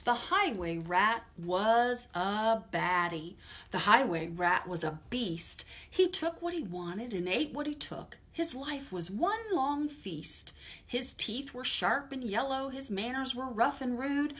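A middle-aged American woman talking and reading aloud to small children at storytime, in simple, expressive language. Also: on the high side, takes it slowly, roomy sound, far from the mic. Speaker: preschool storytime librarian. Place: small indoor room. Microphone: camera a few feet away.

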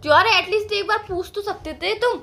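A woman acting as a young girlfriend in a phone-call drama, with a high-pitched voice. She is indignant and accusing, scolding her partner with a whiny edge. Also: brisk, pushed and bright, full character voice. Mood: upset, indignant. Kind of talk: scripted comic phone-call drama between a couple.

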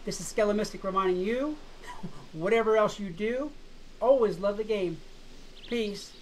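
A slightly hoarse woman chats about a game in a casual, skeptical way, breaking into small laughs. Her voice sits at an ordinary pitch for a woman, recorded on a home mic with a faint hiss underneath. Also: normal speed, darkish tone, poor audio. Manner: chatty, skeptical, laughs often. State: slightly hoarse, frog in throat. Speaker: gaming YouTuber.

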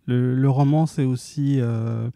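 Halting, gravelly man, slow and dark. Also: medium pitch, steady tone, dry recording, studio quality. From Algeria. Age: 50s. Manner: halting, many restarts and fillers. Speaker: author guest. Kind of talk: hesitant interview answers. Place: cultural institute stage, indoors. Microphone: handheld mic.

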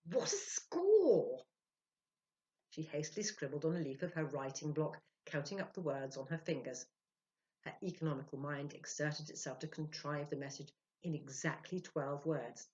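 Older female storyteller, lowish voice, lively dramatic narration, lo-fi sound. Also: normal speed. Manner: theatrical, expressive. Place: indoor room, plain walls. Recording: dull, lo-fi sound.

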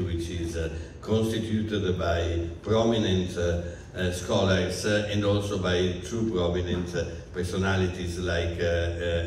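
Older presenter, very low voice, hesitant, echoey distant room. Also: male voice, darkish tone, a little hiss or hum. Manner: halting, many fillers. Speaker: event presenter. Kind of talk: formal introduction. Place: bookstore event room. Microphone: handheld mic, far camera.

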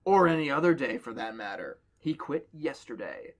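A man's voice: medium pitch, very animated, normal speed. A man on a podcast reading a horror story aloud to friends and joking between passages. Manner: reads dialogue aloud, jokes between passages. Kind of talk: casual reading with banter.

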